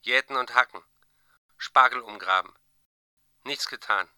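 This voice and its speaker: Diary keeper listing farm chores, really sharp forceful voice, clipped, dry sound, radio play. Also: male voice, mid-range voice, a little slow, clean tone, no room sound. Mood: matter-of-fact. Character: man flatly reciting a diary of farm chores.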